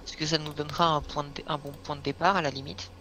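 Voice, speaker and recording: A man's voice: a little high, flat delivery, quick pace, pushed and bright, slight background noise. A man playing a tabletop role-playing game, planning moves aloud with many fillers. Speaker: player. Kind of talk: casual chat planning a game session.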